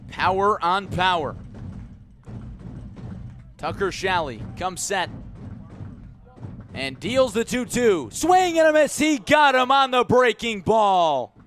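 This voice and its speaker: A man doing live radio baseball play-by-play as the announcer, with excited calls on the big plays. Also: high-pitched, takes it slowly, pauses often, soft background music. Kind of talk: live sports commentary.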